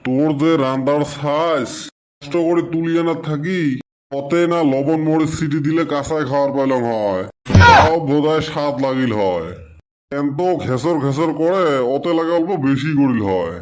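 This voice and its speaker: A man's voice: a little high, normal speed, lightly acted. Man acting a sarcastic, grumbling village husband. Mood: sarcastic teasing. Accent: rural northern Bengali dialect of the Assam-Bengal border. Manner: sarcastic, muttering complaints. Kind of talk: comedy cartoon dialogue.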